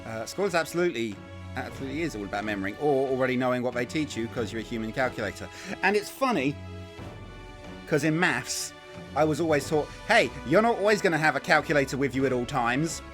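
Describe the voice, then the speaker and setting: A man's voice: medium pitch, expressive, fast. A man streaming a game with casual, rambling live commentary, wry and sweary. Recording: game music behind the voice.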